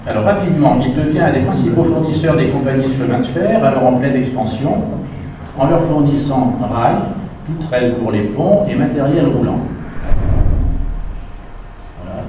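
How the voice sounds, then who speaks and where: A man's voice: mid-range voice, normal speed, pauses often. A man giving a history lecture to an audience, reading a prepared text with the odd aside about his slides. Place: lecture hall. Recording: echoey hall, distant mic, noisy.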